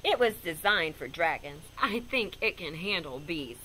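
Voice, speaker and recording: A woman's voice: fairly low pitch, expressive, measured pace, sharp tone, slight background noise, pitched below the performer's own voice, poor audio. A woman voicing a stubborn, melodramatic, bee-fearing character from a children's storybook, in a dramatic, grudging manner. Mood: stubborn confidence.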